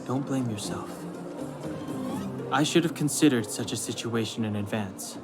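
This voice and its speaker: An actor plays a considerate young man, a loyal companion in a video game. His voice is mid-pitched, serious and reassuring. Loud music runs behind him. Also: moderate pace, natural voice. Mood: reassuring, regretful. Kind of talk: video game cutscene dialogue.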